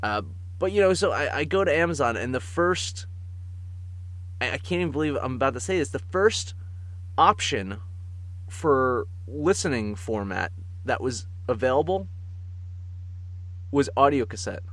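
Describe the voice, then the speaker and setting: A man's voice: medium pitch, expressive, moderate pace, pauses often, a bit bright, dry recording, low-quality recording. Casual, chuckling man on a close mic. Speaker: podcast co-host. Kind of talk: casual comic chat.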